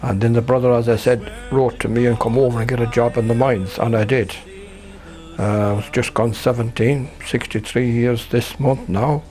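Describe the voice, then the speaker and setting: An elderly man in his eighties speaks with a County Sligo Irish accent. He tells his story plainly, in homely, folksy phrases. His pitch sits mid-range, and faint music plays behind. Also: fairly even intonation, moderate pace, darkish tone. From Ireland. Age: 80s. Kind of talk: oral history recollection.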